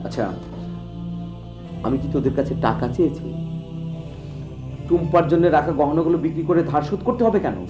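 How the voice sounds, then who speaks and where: An actor playing a proud, scolding uncle in a family television drama. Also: male voice, a little high, expressive, brisk, pauses often, highs cut off, close to an everyday voice. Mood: indignant. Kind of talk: TV drama acting. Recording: echoey room, music behind.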